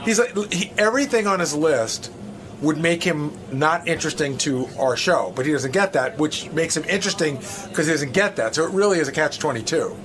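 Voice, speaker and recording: Higher-voiced blunt man, crowd behind. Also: lively intonation, a little fast. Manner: blunt, emphatic, repeats himself. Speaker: radio show staffer. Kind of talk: candid interview answers. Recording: busy crowd chatter behind. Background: crowd noise.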